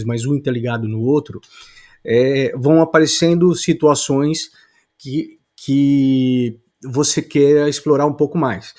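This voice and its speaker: A man's voice: mid-range voice, darkish tone. A man, teaching an online course lesson in an explanatory way, with frequent "é" fillers and restarts. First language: Portuguese. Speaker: course instructor.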